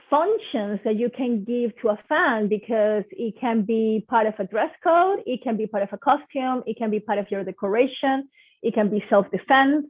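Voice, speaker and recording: A woman with a Venezuelan Spanish accent talks with eager, expressive enthusiasm about her passion. Her voice sits slightly high. The audio is tinny and narrow, like a phone call. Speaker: guest performer. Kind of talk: casual online presentation.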